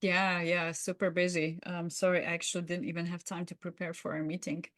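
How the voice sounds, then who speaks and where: A woman playing a rushed, evasive but friendly boss in a workplace roleplay, with a flustered, effusive manner. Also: lowish voice, level tone, a bit bright, close to an everyday voice.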